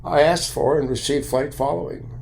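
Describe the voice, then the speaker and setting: Older American male vlogger, gravelly and blunt, deliberate phrasing, mid-pitched, light noise behind. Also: darkish tone, poor audio. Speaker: video commentator and private pilot. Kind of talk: opinion monologue to camera.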